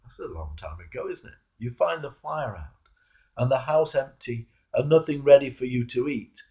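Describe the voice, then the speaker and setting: A man, a clergyman, reading a devotional sermon in a measured, emphatic manner with rhetorical turns. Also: mid-range voice, animated, mellow. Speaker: Anglican clergyman reading a reflection. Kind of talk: devotional sermon, read aloud.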